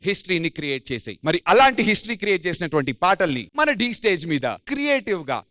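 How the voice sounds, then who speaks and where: A man with a Telugu accent hosting a TV dance show with energetic, playful, teasing banter. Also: sits high, racing, no room sound, studio quality. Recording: loud music behind. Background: loud music.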